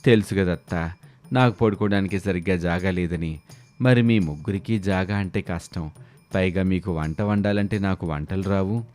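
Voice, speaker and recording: A man's voice: sits low, normal speed, darkish tone, soft background music, pitched below the performer's own voice, natural voice. A man voicing a grumbling, put-upon village freeloader in colloquial, cartoon dialogue. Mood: worried grumbling. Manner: colloquial, complaining. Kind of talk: animated moral-story dialogue.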